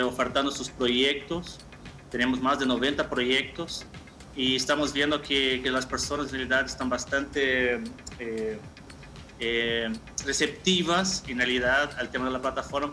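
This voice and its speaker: Bright-voiced man in his thirties explaining. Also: medium pitch. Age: 30s. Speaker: marketing manager, interview guest. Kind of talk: informal explanatory interview answers. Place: indoor room, on a video call. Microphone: video call.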